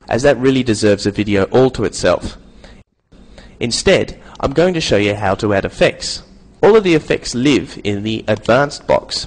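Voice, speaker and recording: Young adult man, scripted tutorial narration, casual and playful, lively changing intonation. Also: medium pitch, low-quality recording. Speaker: software tutorial presenter.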